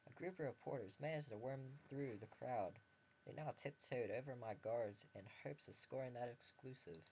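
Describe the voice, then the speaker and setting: A man's voice: medium pitch, moderate pace, darkish tone, a little hiss or hum. A man reading a visual novel aloud as a game video host, with joking comments between passages. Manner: reads narration and dialogue in a mostly plain voice, joking asides. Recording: dull lo-fi home recording with faint background ambience.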